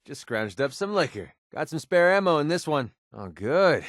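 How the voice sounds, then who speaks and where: An actor voicing a wry, prickly young mercenary sniper with a hidden soft side in a video game, sarcastic and conversational. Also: male voice, fairly high pitch, normal speed, dry recording, studio quality. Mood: casual. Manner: sarcastic, conversational, emotionally expressive. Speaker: video game companion character. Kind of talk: naturalistic video game voice acting.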